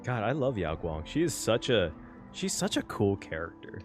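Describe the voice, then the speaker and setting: Animated man reacting to a game, music behind. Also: lowish voice, normal speed. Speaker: streamer reacting to a video game. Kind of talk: casual gameplay reaction commentary.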